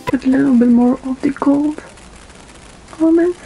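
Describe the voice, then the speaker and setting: A young woman in her twenties with a Dutch accent, whose first language is Dutch, giving a makeup tutorial into a webcam in a small bedroom, pausing often with ums as she works. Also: high-pitched, vocal fry, loud music behind the voice, low-quality recording. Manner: unhurried, with frequent ums and pauses while applying makeup. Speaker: beauty vlogger. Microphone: webcam in front of her.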